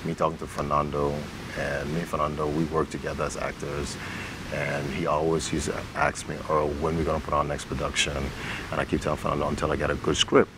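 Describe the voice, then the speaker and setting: A man with an extremely deep, creaky voice tells stories informally as an interview guest. His intonation stays fairly flat, and there is clear background noise. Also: quick pace. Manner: informal, colloquial, acts out quoted dialogue. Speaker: interview guest, stage actor and director. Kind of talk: conversational storytelling.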